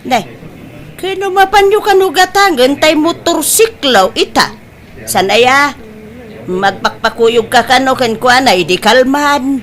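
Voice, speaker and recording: A woman's voice: high voice, expressive, a little slow, a bit bright. A woman with an Ilocano accent playing a patient, advising mother in a comedy radio-style drama, coaxing and admonishing. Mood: questioning.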